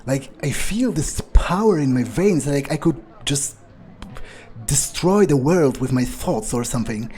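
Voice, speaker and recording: A man doing an improvised in-character gameplay monologue, thinking aloud in a halting, musing way. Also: mid-range voice, lively intonation, darkish tone. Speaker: gamer playing in character.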